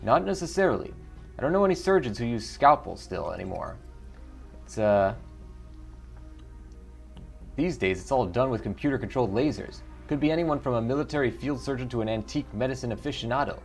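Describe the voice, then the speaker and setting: A man voicing a wry, matter-of-fact dwarf coroner in a video game, explaining things in a measured way. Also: mid-range voice, lively intonation, vocal fry, soft background music, voice pushed up. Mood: clinical. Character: dry, wry dwarf coroner, principled and solitary.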